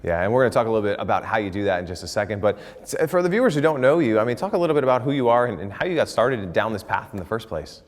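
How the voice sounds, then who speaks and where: Fast-talking upbeat male host. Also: lowish voice, animated, long reverb tail. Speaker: talk show host. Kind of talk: interview hosting.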